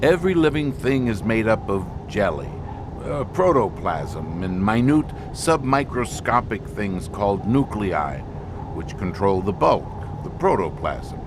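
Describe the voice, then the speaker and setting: Grave male scientist played by a man, audio drama. Lowish, very varied intonation, deliberate halting pauses, clear background noise. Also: sing-song. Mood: grave, explaining. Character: grave male expedition scientist explaining an alien menace.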